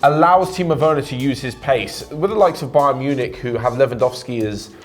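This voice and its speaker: British man, co-host, jokey and quick, mid voice, faint music bed. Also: medium pitch. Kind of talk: casual football chat.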